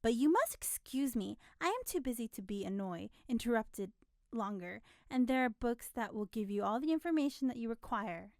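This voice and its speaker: A woman's voice: on the high side, moderate pace, hardly any pauses, dry recording, lightly acted. Woman playing a flustered young telegraph girl, tart, impatient, raised voice, dry sound.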